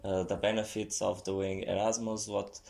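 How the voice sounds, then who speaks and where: A man speaking English as an as a podcast guest, hesitant with many pauses. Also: low voice, flat delivery, vocal fry, a little mellow. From Portugal. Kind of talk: casual chat.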